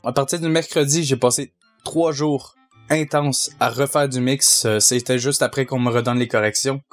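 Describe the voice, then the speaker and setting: A young man with a Québécois French accent, a sound mixer answering fan questions, measured and hesitant with many fillers. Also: mid-range voice, steady tone, faint music behind. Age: young adult. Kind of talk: casual Q&A answers.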